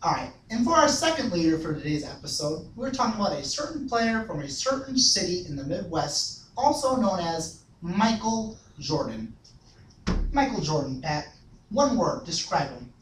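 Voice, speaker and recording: Guy doing a sports fan host, pitch all over the place, drawn out and repetitive, far from the mic. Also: big pitch swings, a bit bright. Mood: showy, excitable. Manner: drawn-out, repetitive, tags questions with "eh". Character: excitable male Chicago sports superfan show host. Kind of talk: comedy sketch sports panel parody. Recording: echoey room, distant mic, faint noise.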